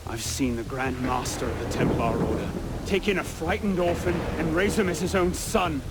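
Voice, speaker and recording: An actor playing a principled young assassin in a video game, speaking with earnest conviction. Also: male voice, fairly high pitch, normal speed, natural voice. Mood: defiant. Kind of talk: video game cutscene acting. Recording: loud dramatic music behind.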